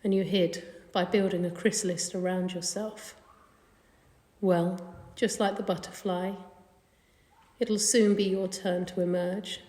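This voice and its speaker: Soothing lady doing guided meditation, lowish voice, lots of reverb. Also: flat delivery. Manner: soothing, gentle, unhurried with long pauses. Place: indoor carpeted room. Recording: echoey room with long reverb.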